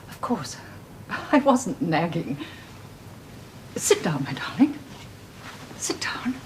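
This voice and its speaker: An actress playing a doting elderly mother who rambles and tears up easily, in a radio drama. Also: lowish voice. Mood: fussing. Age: older. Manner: fussing, rambling, tearful.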